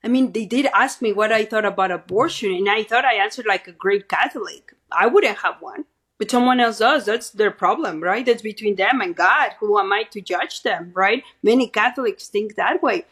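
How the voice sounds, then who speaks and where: Middle-aged Mexican-accented woman, Spanish native, husky; earnest guest who keeps checking in with "right?". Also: mid-range voice, normal speed, fairly bright tone. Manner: earnest, tags statements with "right?". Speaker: guest, pro-life speaker. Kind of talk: interview answers.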